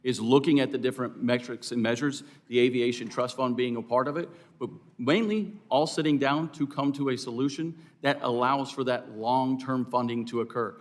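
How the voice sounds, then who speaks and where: A man with a medium-pitched voice gives formal testimony as an expert witness. His delivery is earnest and emphatic, addressed to officials in a hearing. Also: normal speed, hardly any pauses. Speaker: air traffic controllers' representative, hearing witness. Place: hearing room.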